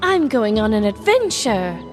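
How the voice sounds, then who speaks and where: Casting: woman as plucky hobbit stoner lass, very high and swooping, dramatic, comic fantasy audio drama. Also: very animated, measured pace. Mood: excited. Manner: dramatic, sing-song, swooping. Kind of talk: comedic fantasy audio parody. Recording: music playing behind.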